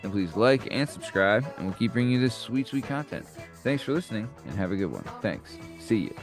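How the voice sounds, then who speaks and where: A man's voice: lowish voice, lively intonation, fairly quick, over loud music. Joking young man hosting a podcast. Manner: laid-back, joking, crude banter. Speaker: podcast host. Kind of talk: casual chat.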